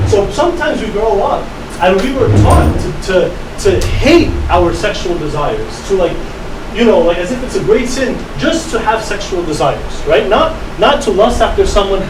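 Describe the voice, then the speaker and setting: Guy giving a casual talk, keeps saying right?, echoey room, mic a ways off. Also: sits high. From United States. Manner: conversational, tags many lines with a rhetorical "right?". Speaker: youth speaker. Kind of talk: casual talk to a live audience. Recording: echoey room recording from a distance with air-conditioning hum.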